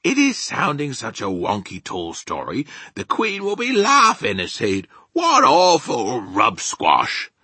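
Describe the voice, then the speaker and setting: Man as storybook giant, gentle, stubborn, muddled grammar, very animated, forceful. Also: mid-range voice, slow pace, hardly any pauses, breathy edge, no room sound, voice pushed up, full character voice. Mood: doubtful. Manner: muddled grammar and invented words. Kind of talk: children's storybook character reading.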